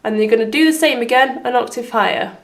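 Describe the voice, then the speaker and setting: A woman with a slightly hoarse voice giving step-by-step piano instruction as the host of a tutorial. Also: a little high, fast. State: slightly hoarse from having been unwell. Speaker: piano teacher and video host. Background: piano playing.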